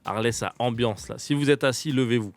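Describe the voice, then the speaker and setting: French guy hosting a radio show, jokey and quick, laughs all the time. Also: mid-range voice, no room sound, faint music behind. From France. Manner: laughs often, jokey and fast-talking. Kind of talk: casual radio chat.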